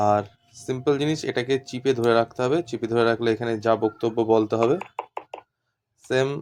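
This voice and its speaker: Flat-voiced man explaining, noisy recording. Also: medium pitch. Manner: repetitive step-by-step explaining. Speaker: instructor demonstrating walkie-talkies. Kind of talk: informal hands-on tutorial.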